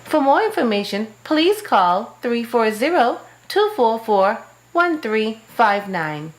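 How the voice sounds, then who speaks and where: Lively, slightly high woman ad voice. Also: takes it slowly, low-quality recording. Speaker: radio ad voice. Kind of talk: public service ad read.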